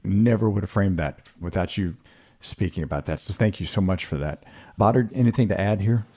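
A man's voice: low-pitched, brisk, mellow. A man hosting a podcast interview, speaking in a reflective, appreciative way. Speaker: podcast host.

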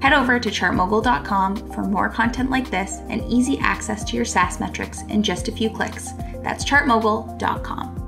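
A woman hosting a business podcast, reading a smooth scripted intro and then interviewing a guest. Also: on the high side, moderate pace, music in the background. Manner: upbeat, smooth scripted delivery. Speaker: podcast host.

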